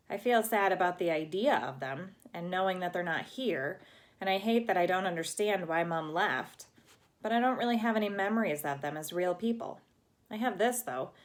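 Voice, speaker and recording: A woman's voice: lowish voice, lightly acted. A woman voicing a thoughtful young girl from a storybook who speaks in an earnest, matter-of-fact way.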